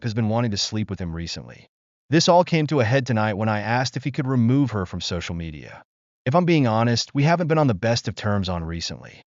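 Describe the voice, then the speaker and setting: A man narrating a first-person relationship story from an online post in a steady, even reading voice. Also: lowish voice, no room sound. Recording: dry, slightly dull lo-fi sound.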